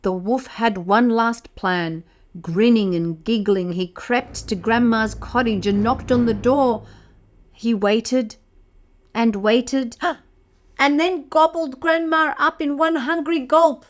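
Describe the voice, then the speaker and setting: A woman with a fairly high voice reads a children's story aloud. She takes it very slowly and expressively, addressing her young listeners directly as a storyteller would. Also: steady tone. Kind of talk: read-aloud picture book narration for toddlers.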